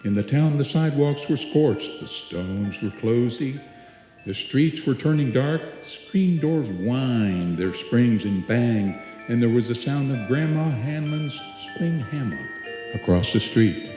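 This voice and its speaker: A man's voice: mid-range voice, animated, slow, dark tone, faint music behind. A man playing the somber narrator of a small-town suspense tale in a live old-time radio drama. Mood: somber, atmospheric. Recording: echoey hall, live stage performance.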